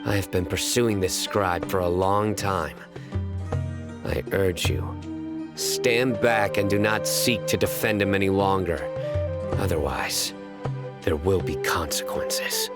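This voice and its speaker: An actor playing a stern, uncompromising enforcer and hunter in a video game, with a formal, grave, commanding delivery. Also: male voice, deep voice, slow pace. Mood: grave, warning. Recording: studio voice under prominent music.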